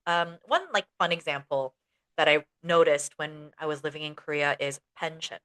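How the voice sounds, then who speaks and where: A young woman co-hosting a casual teaching podcast, telling stories in an animated way and acting out bits of dialogue. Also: sits low, moderate pace. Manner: animated storytelling, acts out quoted dialogue. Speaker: podcast co-host, ESL educator. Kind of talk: casual chat.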